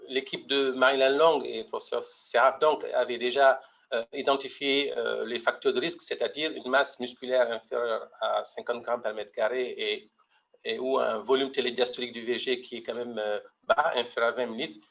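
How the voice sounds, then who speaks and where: A middle-aged man from Mauritania presents a medical lecture in a webinar. His voice has a gravelly, creaky edge at an ordinary pitch. He speaks haltingly, filling gaps with fillers and starting phrases over. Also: fairly even intonation, a bit bright. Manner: hesitant, many fillers and restarts. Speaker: pediatric heart surgeon presenting.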